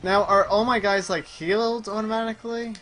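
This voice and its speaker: A man's voice: high-pitched, steady tone, a little fast, over music. A man casually chatting and commenting as he plays a video game, with dry, joking sarcasm. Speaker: host playing a video game on a gaming show. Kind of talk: casual chat and gameplay commentary.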